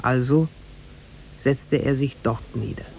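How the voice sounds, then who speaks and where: A man narrating a tale in a scripted, measured and formal 1950s radio drama style. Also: medium pitch, creaky, a little mellow. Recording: noisy 1950s radio recording.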